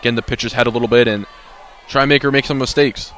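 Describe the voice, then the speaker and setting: A man talks quickly as a color commentator on a live sports broadcast. His analysis is conversational, in a mid-pitched voice. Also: fairly even intonation, a bit bright.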